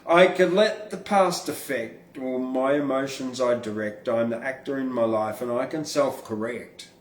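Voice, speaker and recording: A man's voice: mid-range voice, a little slow, recorded from a distance. A man hosting and performing his own poetry, with casual asides and an informal, blunt manner. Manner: informal, blunt, freely swearing. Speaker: podcast host and poet reading own verse. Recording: roomy, echoing room sound.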